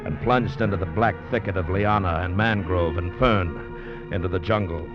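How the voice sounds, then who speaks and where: A grave male convict played by a radio actor, very deep and steady. He speaks with measured suspense, in vintage radio-thriller style, on a muffled broadcast with music behind. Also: close to an everyday voice. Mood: grave, suspenseful. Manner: measured, tense, deliberate storytelling. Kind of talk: 1940s-50s radio drama narration and acting.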